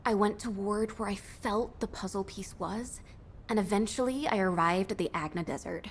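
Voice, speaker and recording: A woman playing a dreamy, excitable young music-obsessed character in a video game. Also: medium pitch, moderate pace, a little hiss or hum, natural voice. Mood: dreamy, wistful. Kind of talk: scripted game dialogue.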